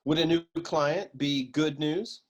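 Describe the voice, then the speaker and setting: An actor playing a wisecracking hardboiled private eye in a comic noir radio serial, with deadpan, slangy tough-guy talk. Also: on the high side, slow pace. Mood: breezy.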